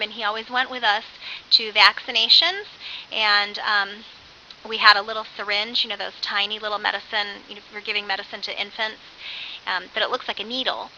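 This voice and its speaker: Bright-voiced woman giving a course lecture. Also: medium pitch, brisk. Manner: animated, with acted-out example phrases. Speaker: early childhood education instructor. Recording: dull lo-fi audio with faint hiss.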